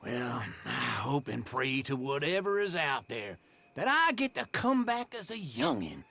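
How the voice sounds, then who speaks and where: Cantankerous old American frontier coot, gravelly, piercing and very animated, folksy scolding, western video game. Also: male voice, mid-range voice, unhurried, full character voice. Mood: sardonic. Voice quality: gravelly, sharp. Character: cantankerous, lazy, mouthy old frontier codger. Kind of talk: video game drama acting.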